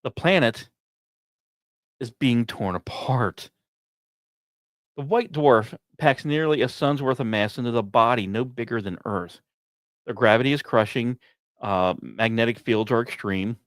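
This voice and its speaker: A man hosting a science podcast, reading scripted narration in a measured storytelling way with deliberate pauses. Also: medium pitch, dry recording. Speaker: podcast host.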